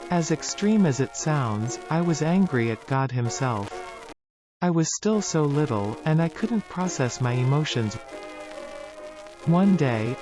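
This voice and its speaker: Man playing a troubled, solemn test subject, slightly high voice. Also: low-quality recording. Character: troubled research subject recounting painful childhood memories. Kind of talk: analog horror found-footage acting, narrative monologue.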